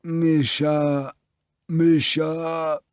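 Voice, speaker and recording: A man voicing a wretched, hungover man in an audiobook, hoarse and feeble, straining to get words out. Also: medium pitch, darkish tone, no echo, studio quality, lightly acted. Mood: moaning, pleading. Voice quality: hoarse, rasping. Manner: strained, effortful, halting. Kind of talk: audiobook character voice.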